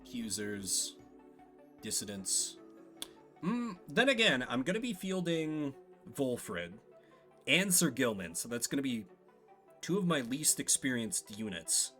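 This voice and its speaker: A man's voice: mid-range voice, very animated, a little slow, pauses often, sharp tone, faint music behind. A man giving casual game commentary, thinking aloud and reading out story narration as he plays. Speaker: streamer playing and solo-voicing a story game.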